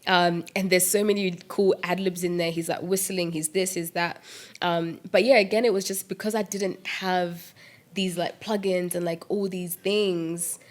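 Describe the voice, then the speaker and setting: A young woman talking about her music in a relaxed interview, her voice sitting a little low. She gets animated and laughs often, with plenty of "like" and "um" between thoughts. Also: moderate pace. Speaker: musician and producer guest. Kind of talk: casual interview chat.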